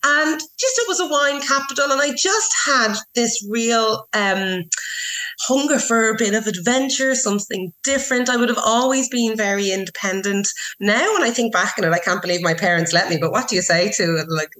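A woman's voice: on the high side, moderate pace, sharp tone, low-quality recording. A woman in her thirties, chatting in an interview with many restarts and fillers. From Ireland. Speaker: interview guest, wine professional. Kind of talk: casual interview chat.

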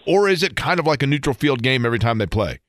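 A man's voice: mid-range voice, sing-song, quick pace, a bit bright, no room sound. A man with a mid-range voice hosts a sports radio interview. He talks conversationally and builds up to each question at length. The sound is dry, with no room around it.

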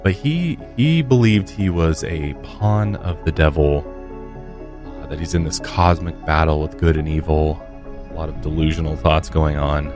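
An American man hosts a podcast in a casual, conversational way. His voice sits very low. Faint music plays behind him. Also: moderate pace. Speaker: podcast host. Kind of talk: casual podcast intro and chat. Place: podcast studio.

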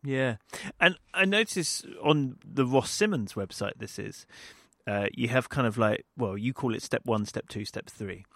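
A male podcast host asks questions in an easygoing, conversational way, often pausing to find his words. His pitch is ordinary for a man. The sound is dry and close, with no room echo. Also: lively intonation, a bit bright. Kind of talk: casual interview questions.

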